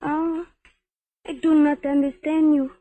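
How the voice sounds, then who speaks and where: A woman playing a sultry, guarded nightclub femme fatale in a 1950s radio drama, speaking measured, stilted, foreign-sounding English. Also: high voice, little pitch movement, phone-quality sound. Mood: seductive.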